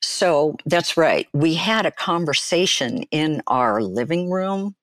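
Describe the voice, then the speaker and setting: An older woman shares personal stories as a guest in an interview. Her pace is slow and deliberate, with emphatic pauses and a highly animated rise and fall in pitch. The recording is close and dry. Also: no room sound. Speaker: guest, therapist and author.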